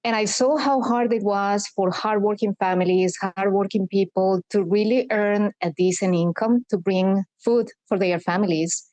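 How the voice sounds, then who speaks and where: A woman from Colombia, in her forties, talks as a podcast guest. Her pitch sits in the middle for a woman. She explains things in an earnest, measured way. The recording is dry and close, with almost no room sound. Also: steady tone, a little mellow, dry recording. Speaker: guest, corporate sustainability executive. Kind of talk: podcast interview.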